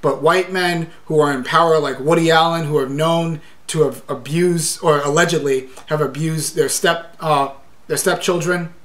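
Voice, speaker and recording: A man's voice: a little high, fairly even intonation, normal speed, fairly bright tone. A man hosting a podcast interview who builds a long, argumentative point in response to his guest. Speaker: podcast host.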